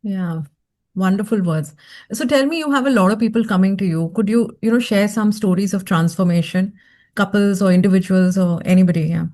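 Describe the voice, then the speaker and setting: A woman's voice: medium pitch, a little fast, warm tone. A woman hosting a podcast interview, easygoing and chatty with many fillers. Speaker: podcast host. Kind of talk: casual interview chat.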